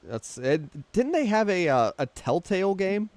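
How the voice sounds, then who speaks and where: A man's voice: sits high, sing-song, normal speed, a little mellow, dry recording. A man hosting a film podcast with casual, chatty talk.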